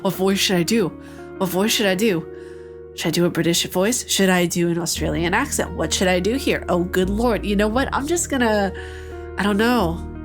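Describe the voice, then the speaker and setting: A playful woman with a mid-range voice reads a visual novel's story text aloud, then drops into joking commentary and laughs. Game music plays clearly behind her. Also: mid-range voice, moderate pace. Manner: playful, joking asides between narrated passages, frequent laughs. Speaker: let's play host.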